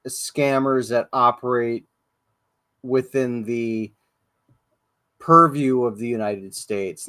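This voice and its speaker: A man's voice: mid-range voice, a bit bright, no echo. A man hosting a casual livestream podcast, rambling and profane, with many ums and restarts. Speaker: podcast host. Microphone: livestream podcast mic.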